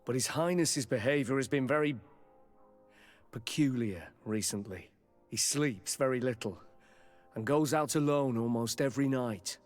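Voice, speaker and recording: A man acting a loyal palace bodyguard in a game cutscene, with an average-pitched male voice. He sounds deferential and uneasy, halting as he speaks, with faint music behind him. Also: natural voice. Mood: uneasy, apologetic. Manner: hesitant, halting, respectful.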